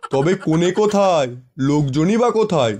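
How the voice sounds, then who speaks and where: High-pitched foolish cartoon tiger played by a man. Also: expressive, moderate pace, darkish tone, natural voice. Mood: curious, surprised. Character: foolish, gullible male tiger uncle, eager to marry. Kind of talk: animated children's folktale acting.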